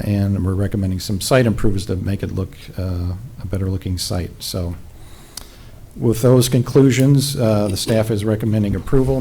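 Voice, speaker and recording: A man on the city staff walks a zoning board through his report in a low, level voice with a dark, mellow tone. He sounds measured and procedural, pausing on small fillers as he goes. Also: a little hiss or hum. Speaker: city planning staff presenter. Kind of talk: reading a staff report at a public meeting. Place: city council chamber.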